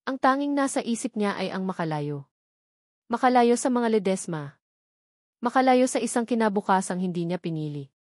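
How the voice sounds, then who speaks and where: A woman narrating a scripted drama in a measured, dramatic way. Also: medium pitch, expressive, no echo, clean studio sound.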